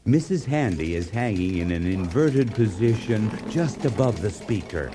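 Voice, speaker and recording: An actor playing the gushing, eager announcer and sidekick of a parody DIY radio show. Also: male voice, lowish voice, animated, moderate pace, background noise, natural voice. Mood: eager, grandiose.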